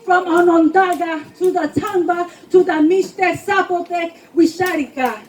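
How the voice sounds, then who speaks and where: A woman's voice: very high voice, unhurried, slight background noise. A woman poet giving an impassioned, emphatic spoken word performance at a live reading, declaiming her lines. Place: live poetry reading before a responsive audience.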